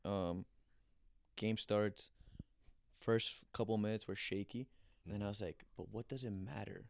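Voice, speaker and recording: A young man from Colombia, a former pro soccer player, telling animated stories in a casual interview, acting out quotes and restarting often. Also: lowish voice, unhurried, vocal fry. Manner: animated storyteller, acts out quotes, frequent restarts.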